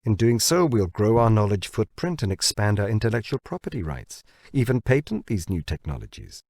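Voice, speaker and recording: A man voicing a shrewd biotech researcher in an audiobook, pitching insistently to his boss. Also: very animated, darkish tone, dry recording, studio quality. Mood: persuasive. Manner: deliberate, insistent salesmanship. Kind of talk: audiobook character dialogue.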